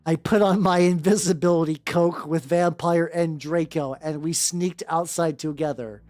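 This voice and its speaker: A woman's voice: sits low, fairly even intonation, normal speed, dark tone. A woman streamer with a playful, joking manner, chatting casually and reading fanfiction aloud for comic effect. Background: background music.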